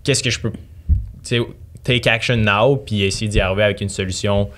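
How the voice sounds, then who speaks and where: A young man with a Québécois accent, a podcast guest explaining his ideas with halting speech. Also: lowish voice, steady tone, fairly bright tone. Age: young adult. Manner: halting, with many fillers. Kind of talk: casual chat.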